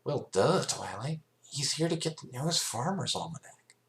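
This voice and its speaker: Man doing a brash, high-pitched young female pony. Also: big pitch swings, moderate pace, fairly bright tone. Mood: brash, alarmed.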